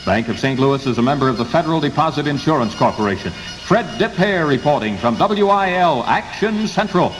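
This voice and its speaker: A man's voice: on the high side, lively intonation, fairly quick, faint music behind. A man with an American accent reading a scripted 1960s radio news bulletin in clipped headlines. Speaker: radio newsreader. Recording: 1960s radio aircheck, hissy, long reverb.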